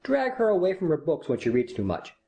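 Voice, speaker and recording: A woman acts a young, good-humoured army officer and fond father in a storybook drama. The delivery is playful and affectionate, mellow and very animated, with music behind. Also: deep voice, a little fast, highs cut off, pitched below the performer's own voice, exaggerated voice. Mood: proud, jovial. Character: young, jovial, doting army captain father.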